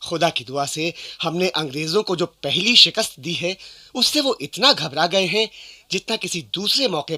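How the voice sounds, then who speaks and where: An actor playing a rebel army commander who delivers a formal, rhetorical letter of alliance in a radio play. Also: male voice, fairly high pitch, sharp tone, heavily acted. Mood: earnest, urgent. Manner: formal, rhetorical Urdu-flavoured address.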